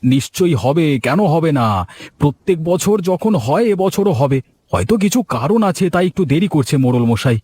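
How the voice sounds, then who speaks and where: Boastful, fast-talking village biryani cook acted by a man. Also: on the high side, lively intonation, mellow, slight background noise, lightly acted. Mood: proud, assured. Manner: boastful, scornful, emphatic. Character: boastful, stubborn village biryani cook and stall owner, husband. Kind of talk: cartoon voice acting in a village comedy.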